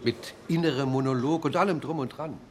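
Bookish male sidekick played by an actor, mid-pitched. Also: brisk, a little mellow, slight background noise, close to an everyday voice. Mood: explanatory. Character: bookish male bookseller, obliging sidekick to a detective. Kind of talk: radio drama acting.

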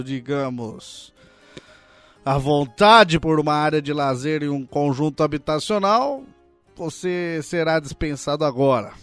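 A stern tiger detective acted by a man with a slightly high voice. He speaks in formal, deliberate commands with tense defiance, as in a comedic police audio drama, over faint music. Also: no room sound, natural voice. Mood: formal, measured.

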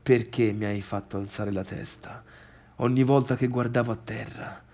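A man's voice: medium pitch, steady tone, normal speed, darkish tone, close to an everyday voice. A man playing a bitter adult son in a theatrical monologue, intense and reproachful, at times sinking to a near-murmur. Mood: bitter, wounded. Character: tired, bitter adult son confronting his overbearing father.

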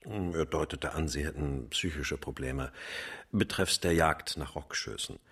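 An actor playing a dry, businesslike middle-aged investigator in a radio drama, speaking in a matter-of-fact, clipped way. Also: male voice, extremely low pitch, a little slow, fairly bright tone, slightly rough, heavily acted.

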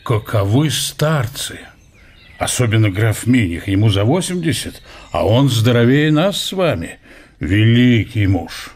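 An actor playing a hospitable, reserved Russian scholar who speaks in a measured, courteous way in a radio drama. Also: male voice, mid-range voice, very animated, a little mellow.

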